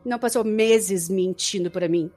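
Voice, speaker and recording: A woman's voice: mid-range voice, a little slow, darkish tone, soft background music, lightly acted. A woman playing a bitter, defiant young captive in an audio drama, with a scornful, dramatic delivery. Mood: scornful resolve.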